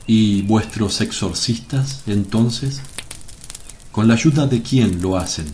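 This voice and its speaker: Grave, gentle rabbi-healer played by a man, radio drama. Lowish pitch, measured pleading delivery, faint noise. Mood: calm, firm. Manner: solemn, measured. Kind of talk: Spanish radio drama acting.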